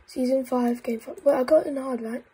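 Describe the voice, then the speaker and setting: Croaky, congested excitable woman gamer. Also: sits high, normal speed. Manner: excitable, with frequent whispered asides. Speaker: gaming YouTuber. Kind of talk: casual gameplay commentary.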